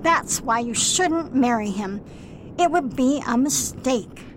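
A woman's voice: high-pitched, animated, a little hiss or hum, poor audio. A woman playing a suspicious disapproving mother. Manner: slow, suspicious, nagging. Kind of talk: amateur radio drama acting.

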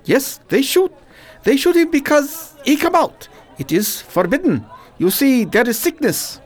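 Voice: high voice, sing-song, measured pace. An actor playing a talkative bazaar shopkeeper in a radio drama, with a foreign accent and broken, emphatic English. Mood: agitated, emphatic. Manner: broken English, short emphatic repeated phrases.

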